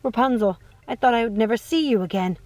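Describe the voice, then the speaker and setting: A woman's voice: a little high, fast talker, darkish tone, dry recording, soft background music, close to an everyday voice. Woman acting an earnest young storybook hero. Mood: calling, eager. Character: devoted young man, princess's dashing sweetheart.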